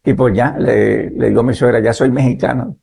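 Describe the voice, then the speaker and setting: A man in his fifties from Nicaragua, a pastor and missionary, telling his life story in a measured way and often adding "¿verdad?". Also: medium pitch, fairly even intonation, creaky, dark tone, husky. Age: 50s. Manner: measured, adds "¿verdad?" tags. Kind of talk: relaxed testimony in conversation.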